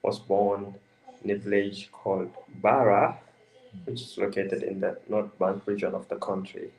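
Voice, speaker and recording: A young man from the Gambia speaks as an interview guest. His voice sits low and carries a gravelly fry, and he talks haltingly, restarting his sentences and filling the pauses with fillers. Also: monotone, darkish tone, rough recording. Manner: hesitant, many restarts and fillers. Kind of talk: casual interview answers.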